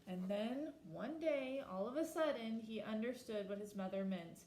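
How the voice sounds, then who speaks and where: A woman reading a children's picture book aloud expressively, slowing down for emphasis and pausing to ask her listeners questions. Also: fairly high pitch, dark tone, echoey room, slight background noise, rough recording. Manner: asks listeners reflective questions. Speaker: storybook reader.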